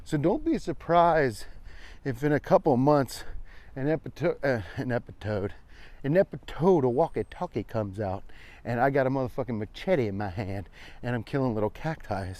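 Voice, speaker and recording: A man's voice: mid-range voice, expressive, normal speed, a little mellow, no room sound. An American man, around his forties, chatting casually and irreverently as he hosts a rambling comedic walking vlog, swearing freely. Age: 40s. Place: outdoors, walking on a trail.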